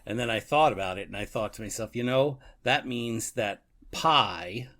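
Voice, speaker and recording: A man's voice: mid-range voice, moderate pace, a bit bright. A man co-hosting a podcast, casually reflecting and thinking aloud with a chuckly manner. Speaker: podcast co-host. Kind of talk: casual book and radio drama discussion.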